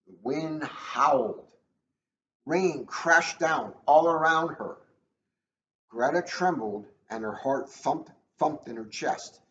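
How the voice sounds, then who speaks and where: A man's voice: medium pitch, a bit bright, roomy sound. A man, a police officer, reading a children's storybook aloud in a casual, informal style. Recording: dull lo-fi audio, faint noise.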